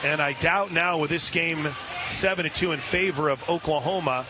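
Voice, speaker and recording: A man calling live softball play-by-play on the radio as the announcer, with an excitable delivery. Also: a little high, moderate pace, music in the background. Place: at a softball stadium. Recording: noisy live radio broadcast with stadium ambience. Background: clear background noise, ballpark ambience.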